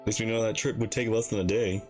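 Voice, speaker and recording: A man's voice: lowish voice, fairly even intonation, quick pace. A man giving casual reaction commentary on a game as a streamer, musing aloud in a hesitant, conversational way. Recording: game music behind the voice.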